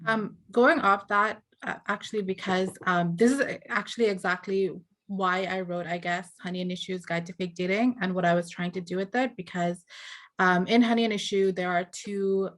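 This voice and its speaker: A woman talking casually on a panel. Her pitch is mid-range for a woman, and her delivery is hesitant and full of ums, with lively jumps in her voice when she retells a moment. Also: moderate pace. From Ireland. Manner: hesitant, lots of ums, animated when quoting people. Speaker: author on a panel.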